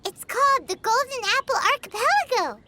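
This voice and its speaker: A woman voicing a small, childlike, excitable fairy companion in a video game, squeaky and dramatic. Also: a little fast, heavy background noise. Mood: eager, curious. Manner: speaks of herself in third person, dramatic. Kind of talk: video game character acting. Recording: game music behind the voice.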